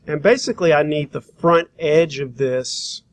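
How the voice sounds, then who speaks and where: A man with a slightly high voice gives a hands-on software tutorial as a design instructor. He talks informally, thinking aloud while he works and explaining steps as he goes. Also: unhurried.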